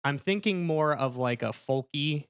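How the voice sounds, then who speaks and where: A man's voice: fairly high pitch, animated, measured pace, clean tone, no room sound, clean studio sound. A man talking casually as a panelist on a comedic podcast.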